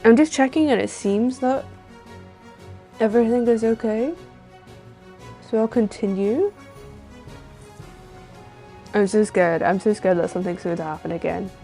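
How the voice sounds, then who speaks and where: A woman's voice: medium pitch, unhurried, creaky, long pauses, dark tone, faint music behind. A woman streamer chatting casually on a livestream while playing a story game.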